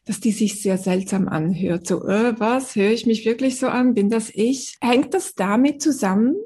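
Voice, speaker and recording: A woman's voice: on the high side, darkish tone. A woman co-hosting a podcast who asks curious, relatable questions. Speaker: podcast co-host. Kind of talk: podcast interview.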